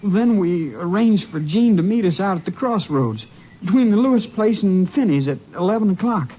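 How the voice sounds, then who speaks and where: An actor in a 1950s radio drama playing a frightened teenage farm boy with an anxious, breathy voice. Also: high voice, animated, moderate pace. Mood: worried. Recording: dull lo-fi old radio recording.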